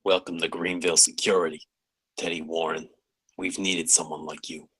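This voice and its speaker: Man acting husky, solemn veteran guard. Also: sits low, level tone, normal speed, a bit bright, no echo, poor audio. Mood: solemn welcome. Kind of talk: live screenplay table read.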